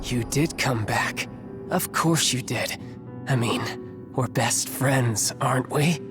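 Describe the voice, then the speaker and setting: A man's voice: mid-range voice, slow pace, close to an everyday voice. A man playing an unhinged, brainwashed young fanatic in an anime game, taunting and theatrical. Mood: mock-friendly, eerie. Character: unhinged brainwashed young male friend turned fanatical enemy. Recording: game music behind the voice.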